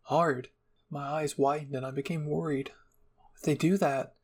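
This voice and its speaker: Gravelly male story reader. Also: fairly high pitch, fairly even intonation, moderate pace. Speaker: fan fiction reader. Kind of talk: first-person dramatic story narration.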